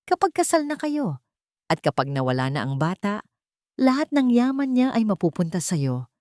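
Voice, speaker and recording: Woman doing a smooth-talking dangerous guy, calm but menacing lover type, audio drama, lively pitch, dry recording. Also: moderate pace, dry recording, lightly acted. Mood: tender scheming. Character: calm, menacing male lover and secret accomplice. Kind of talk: Tagalog audio drama storytelling.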